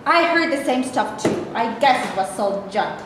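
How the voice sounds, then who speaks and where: A woman's voice: on the high side, animated, moderate pace, close to an everyday voice. A woman playing a mild but self-respecting woman in a staged play reading. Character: mild, likeable woman who stands up for herself. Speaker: reader of stage directions and a part. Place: echoey room, staged reading. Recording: echoey room, faint noise.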